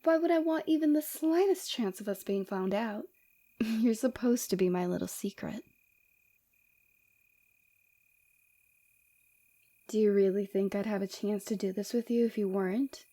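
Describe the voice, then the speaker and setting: A woman playing a flirty, forward villain and rival warrior in an ASMR roleplay, teasing and coy with a breathy voice, close to the microphone. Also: mid-range voice, normal speed, dark tone, lightly acted. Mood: coy, mischievous. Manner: teasing, coy, seductive, often dropping to breathy whispers.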